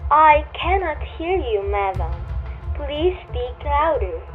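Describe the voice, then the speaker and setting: A woman's voice: sits high, big pitch swings, slow, heavily acted. A woman playing an impassive, formal telephone operator in a radio drama, speaking in detached set phrases. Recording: tinny phone-like line with music.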